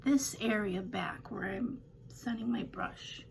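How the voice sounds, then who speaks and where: An older woman with a slightly raspy voice, chatting casually through a home makeup tutorial with rambling, self-deprecating asides. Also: medium pitch, takes it slowly, a little hiss or hum. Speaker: beauty vlogger.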